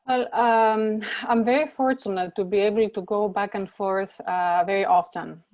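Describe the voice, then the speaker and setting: A middle-aged woman answers interview questions over a video call. Her voice sits in an ordinary middle range and sounds dry, close and unroomy. She talks in a measured, reflective way, with fillers and repeated words. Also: medium pitch, steady tone, a little mellow, no room sound, highs cut off. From Greece. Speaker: professor and interview guest.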